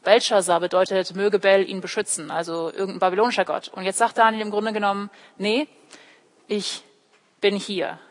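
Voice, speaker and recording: A young woman gives a sermon in a space with long reverb. She is a native English speaker who grew up in Germany, with a mid-pitched voice. She explains things directly to her listeners and slips into short imagined quotes. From Germany, born in California and raised in Heidelberg. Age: young adult. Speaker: theology student preaching at church. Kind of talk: sermon with personal illustrations. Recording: echoey hall with long reverb.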